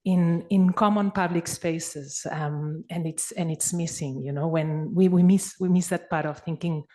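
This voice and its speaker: A woman's voice: on the low side, fairly even intonation, unhurried, mellow. A woman in her forties from Barcelona, Spain, with a Spanish accent, speaking up in a conference discussion in a hesitant way, with many fillers and restarts. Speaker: conference participant. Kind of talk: spontaneous discussion contribution.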